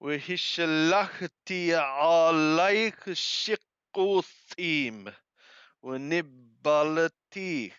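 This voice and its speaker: Very slow-reading man, clear smooth voice. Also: a little high, a bit bright, no echo. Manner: halting, deliberate, repeats and corrects wordings. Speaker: amateur Bible reader and translator. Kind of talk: reading Hebrew scripture aloud with phrase-by-phrase translation. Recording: dull lo-fi close recording.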